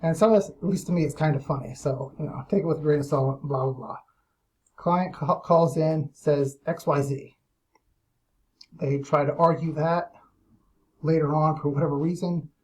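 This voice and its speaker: A man with a voice a little higher than average delivers an informal monologue as a business owner. He is blunt and opinionated, stressing his points firmly as he explains things to clients. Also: fairly even intonation, moderate pace, darkish tone, low-quality recording. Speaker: lead generation business owner.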